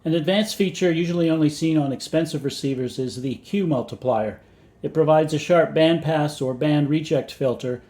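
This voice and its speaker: Higher-pitched man, methodical explainer. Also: warm tone. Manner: measured, methodical, matter-of-fact. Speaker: vintage radio hobbyist and presenter. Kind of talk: scripted explanatory narration.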